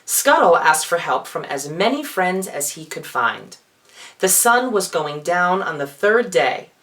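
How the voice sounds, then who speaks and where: A man performing as a drag queen, reading a children's storybook in a theatrical, expressive way with playful asides. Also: high voice, unhurried.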